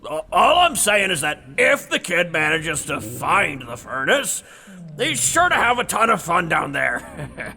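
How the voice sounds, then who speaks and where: A man's voice: a little high, very animated, a little slow, vocal fry, pushed and bright, slight background noise, full character voice. An actor playing a crotchety, mischievous old man in an audio drama, with a goading, folksy manner. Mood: sly, evasive. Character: crotchety, mischievous old male museum staffer, Abraxas.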